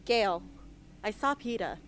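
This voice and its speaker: A woman's voice: medium pitch, expressive, normal speed, a bit bright, a little hiss or hum, close to an everyday voice. A woman playing a blunt, wounded young female rebel in a radio drama. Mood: hurt, accusing. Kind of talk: amateur radio drama acting.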